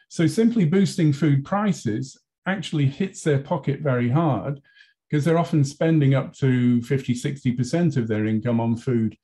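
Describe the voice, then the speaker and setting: A British man in his sixties, a professor speaking on a conference video call in a measured, thoughtful way from his office. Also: mid-range voice, dark tone. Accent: British English. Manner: measured, thoughtful, frequent restarts. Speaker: university professor, panel speaker. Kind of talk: conference panel talk. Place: office with bookshelves.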